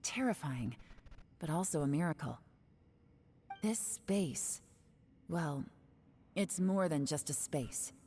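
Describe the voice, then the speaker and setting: An actress voicing a composed, cool-headed woman investigator in an anime-style game, speaking in a measured, analytical way. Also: deep voice, animated, a little mellow, slight background noise. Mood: calm, analytical.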